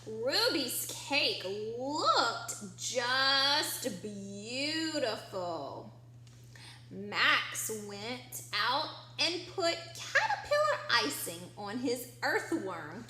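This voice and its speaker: A woman reading a picture book aloud to children like a teacher, expressive and encouraging, drawing out key words and putting questions to her listeners. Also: a little high, drawn-out words. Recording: echoey room, faint background noise.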